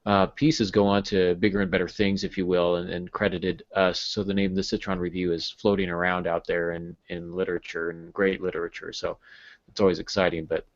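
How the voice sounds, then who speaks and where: A man's voice: low voice, level tone, a little fast, no room sound. A man in his thirties chatting as a podcast co-host over a video call from a room at home. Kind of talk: casual podcast discussion. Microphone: earbuds on a video call. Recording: dull, compressed video-call audio.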